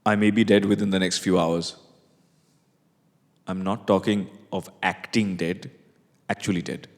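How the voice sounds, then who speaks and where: A man's voice: sits low, fairly even intonation, faint music behind. Man playing a doomed playwright in cavernous reverb. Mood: grave, rueful. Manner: theatrical, deliberate, confessional. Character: doomed, sleep-cursed male playwright, rueful and desperate. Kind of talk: dramatic stage monologue reading. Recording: long hall-like reverb.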